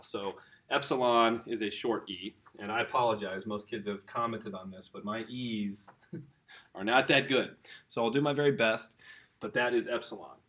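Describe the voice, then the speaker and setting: A middle-aged man teaching a casual video lesson, relaxed and chatty, correcting himself as he writes on a board. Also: medium pitch.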